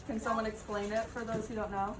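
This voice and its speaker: A woman teacher reading a picture book aloud to children, pausing to prompt and affirm her listeners. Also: on the high side, level tone. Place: echoey room with children. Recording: roomy, distant mic, background noise.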